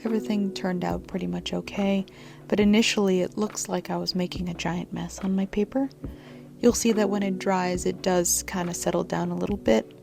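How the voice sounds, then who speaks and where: Soft-spoken woman with a scratchy throat. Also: fairly low pitch, steady tone, moderate pace, vocal fry. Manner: soft, half-whispered delivery. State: scratchy, congested-sounding throat. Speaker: journaling vlogger. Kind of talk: soft-spoken voice-over narration. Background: lo-fi music.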